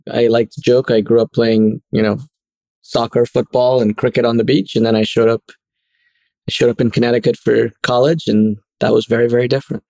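A man's voice: mid-range voice, flat delivery, creaky, a little mellow, no room sound. Thoughtful man from Trinidad, dry sound. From Trinidad and Tobago. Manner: thoughtful, self-deprecating, measured. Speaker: guest, product executive. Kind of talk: casual interview chat.

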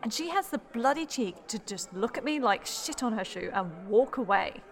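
Actress as catty village gossip, radio drama; high voice, insinuating, long reverb. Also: female voice, expressive, moderate pace. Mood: indignant gossip. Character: gossipy, catty female village party supporter. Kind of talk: radio play acting.

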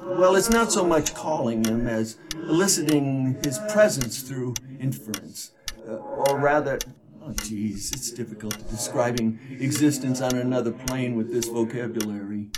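An actor playing a jovial, talkative ghost in an audio drama, chatty and teasing, with a sputtering, theatrical delivery. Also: male voice, medium pitch, a little slow, background noise, lightly acted. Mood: exasperated, chatty. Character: jovial talkative male ghost of dead football pal.

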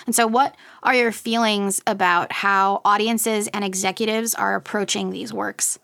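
A woman's voice: medium pitch, fairly even intonation, normal speed, vocal fry, fairly bright tone. A woman interviewing as a podcast host in an informal, engaged and chatty conversational style.

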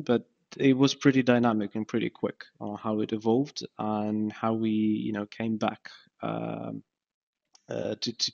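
A man's voice: on the low side, creaky. A man from Ukraine, a startup founder interviewed as a guest, speaking casually and hesitantly with many fillers and restarts. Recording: dull lo-fi podcast audio.

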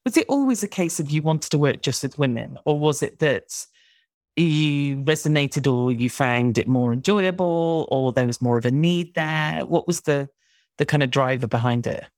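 A woman hosting an interview podcast, friendly and quick, chatting with her guest. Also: low voice, expressive, darkish tone, no echo, clean studio sound. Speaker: podcast host. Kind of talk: conversational interview.

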